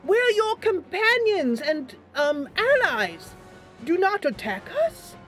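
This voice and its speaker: A woman voicing an earnest female bard adventurer in a fantasy game, with a theatrical, drawn-out delivery. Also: very high voice, sing-song, fairly bright tone, soft background music, pitched above the performer's own voice, heavily acted. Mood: pleading.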